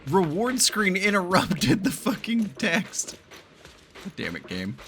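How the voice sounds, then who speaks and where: Animated, slightly gravelly man reacting to gameplay. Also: sits high, slow pace, faint music behind. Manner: animated, casually profane. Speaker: gaming streamer reacting to a story quest. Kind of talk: casual gameplay reaction commentary, sometimes reading dialogue aloud.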